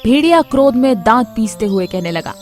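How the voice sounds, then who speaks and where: Woman telling kids stories, higher voice, expressive, soft music behind. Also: fairly quick. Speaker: storyteller for a children's story channel. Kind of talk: scripted children's story narration.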